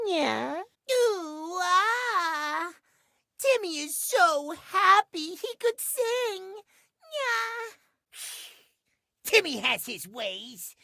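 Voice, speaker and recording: A man's voice: very high-pitched, sing-song, drawn-out words, gravelly, bright, forceful tone, no echo, studio quality, heavily acted. A man voicing a childish, needy living clock boy in a video game, with cutesy, playful baby talk that refers to himself in the third person. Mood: playful, gleeful. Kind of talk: animated video game character acting.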